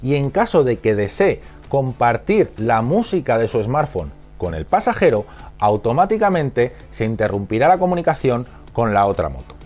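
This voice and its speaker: A man's voice: mid-range voice, very animated, moderate pace, darkish tone, soft background music. A man with an accent from Spain reading scripted instructional narration in a methodical, didactic manner. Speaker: narrator of a product explainer.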